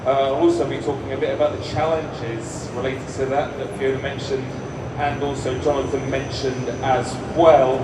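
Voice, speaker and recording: Man presenting methodically in an echoey hall. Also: on the high side. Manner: clear, methodical, slowing on key terms. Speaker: conference speaker. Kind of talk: prepared presentation. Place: large exhibition hall. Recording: echoey hall, distant mic, background hum.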